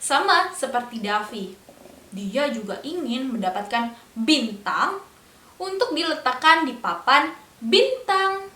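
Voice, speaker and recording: A woman's voice: sits high, very animated, pushed and bright, far from the mic. Sing-song woman storyteller for young children. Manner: slow sing-song delivery, asks the children questions. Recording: echoey room, a bit off-mic.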